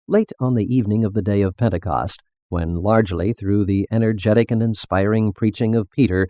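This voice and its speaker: Low-voiced male narrator with animated intonation. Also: dry recording, dull audio. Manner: measured, formal, deliberate phrasing. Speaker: narrator reading a religious text. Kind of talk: scripted audiobook-style narration.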